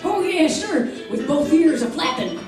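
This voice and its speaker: Woman playing a high, lively, folksy frontier doc. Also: unhurried, music in the background. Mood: wry, folksy. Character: folksy, wry old frontier town doctor, male. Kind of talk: old-time radio western acting. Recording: distant, very echoey stage recording.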